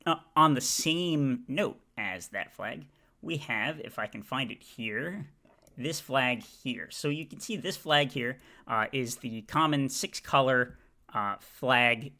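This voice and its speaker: A man with a bright, forward voice at a middling pitch talks casually. He stops and starts often, filling the gaps with drawn-out "uh"s as he works through a ranking. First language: English. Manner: halting, many "uh" fillers and restarts. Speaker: video creator ranking flags. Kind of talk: casual commentary.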